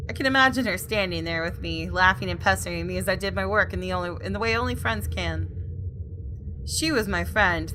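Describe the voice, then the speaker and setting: A woman gaming host reading visual novel narration aloud in an expressive, storytelling way with casual asides. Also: fairly low pitch, a little hiss or hum, poor audio.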